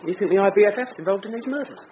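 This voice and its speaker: A man's voice: high-pitched, animated, rapid-fire, highs cut off, a little hiss or hum. A man with a British accent acting in a radio drama as an eager junior detective. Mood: eager, speculative. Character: eager junior male detective floating theories. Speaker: actor.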